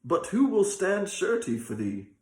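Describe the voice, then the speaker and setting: A man voicing a grasping, quick-tempered rich man in a folk tale, scolding in archaic speech. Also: high voice, very animated, voice pushed up. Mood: questioning. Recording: roomy, echoing sound.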